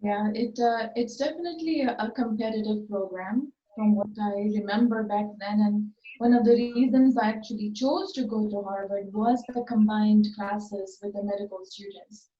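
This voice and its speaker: A woman's voice: medium pitch, moderate pace, few pauses, mellow. A woman in her forties giving conversational answers as an interview guest. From Tanzania. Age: 40s. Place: remote online call, indoor room. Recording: muffled, roomy, distant call audio.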